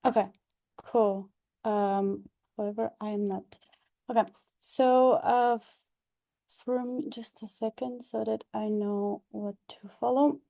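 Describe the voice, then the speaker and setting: A woman's voice: fairly high pitch, steady tone, slow pace, gravelly, warm tone. A young woman, speaking hesitantly with many fillers about her experience over a video call. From Bulgaria. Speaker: past delegate and graduate scholar. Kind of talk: online information session talk.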